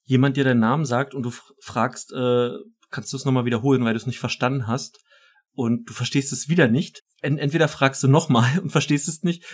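A man with a husky, slightly rough voice at a middle pitch, talking as a podcast co-host. His delivery is informal and conversational, like everyday chat between two hosts. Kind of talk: casual chat.

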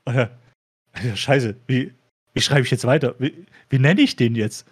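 A man in a relaxed, informal conversation as a podcast co-host, with a mid-pitched voice. He starts phrases over and repeats small words while he looks for the right one. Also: normal speed, husky. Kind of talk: casual chat.

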